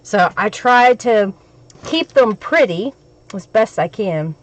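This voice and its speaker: A woman with a crackly, hoarse voice from a cold, hosting a crafting live stream and chatting with viewers as she works. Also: mid-range voice, expressive, slow pace, no echo.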